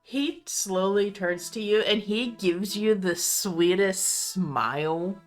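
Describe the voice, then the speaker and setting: Female game master for tabletop roleplay, improvised scene narration, very measured pace, faint music behind. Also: medium pitch.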